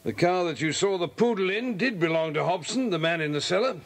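A British man playing a genial, brisk police inspector in a children's audio drama, hearty and chatty. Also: high-pitched, lively intonation.

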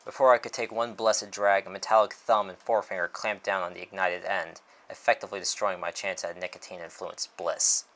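A man's voice: sits low, little pitch movement, fairly quick, a bit bright. A man voicing a weary, irritable detective narrating a noir story in the first person. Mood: world-weary, wry.

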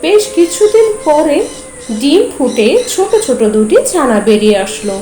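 A woman narrating a children's cartoon story in a gentle, measured way. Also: high-pitched, very animated. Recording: echoey with music behind.

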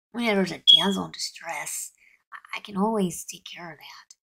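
Woman acting a husky, hesitant cartoon teen. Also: lowish voice, pushed and bright, exaggerated voice, low-quality recording. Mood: teasing. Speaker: amateur voice performer. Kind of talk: fan-made cartoon audio drama acting.

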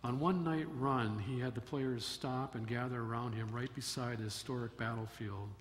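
A man's voice: mid-range voice, fairly even intonation. Older man preaching in a reverberant church. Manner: measured storytelling delivery with emphatic rises. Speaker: pastor. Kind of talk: sermon. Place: church sanctuary at a wooden pulpit. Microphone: pulpit microphone. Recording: long church reverb, faint room noise.

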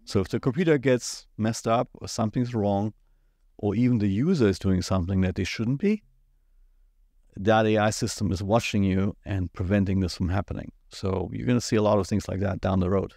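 A man in his forties speaks English with a German accent, in a lowish, easy voice. He talks loosely and casually, filling gaps with "uh" and "yeah". The close studio mic gives a dry, dead sound. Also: a little fast, no echo. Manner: relaxed, rambling with many fillers. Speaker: IT company owner, podcast co-host. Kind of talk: casual podcast chat. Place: small studio set. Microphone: studio mic on boom at the mouth.

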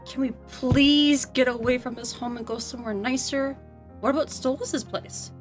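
A woman's voice: high voice, steady tone, normal speed, soft background music. A woman co-hosting a scripted commentary on a cartoon, in conversational banter. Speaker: co-host.

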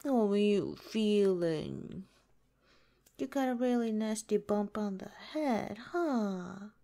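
Woman acting a slow, sweetly menacing yandere nurse. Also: medium pitch, expressive, warm tone. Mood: coy, menacing. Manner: breathy, lapsing into whispers, coy and teasing. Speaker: ASMR roleplay performer. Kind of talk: ASMR roleplay, soft intimate drawn-out delivery.

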